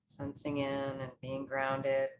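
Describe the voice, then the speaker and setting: Low-pitched woman guiding a meditation. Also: completely flat delivery, a little hiss or hum. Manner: slow, pausing, soothing instructional delivery in short phrases. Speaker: meditation guide. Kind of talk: guided meditation.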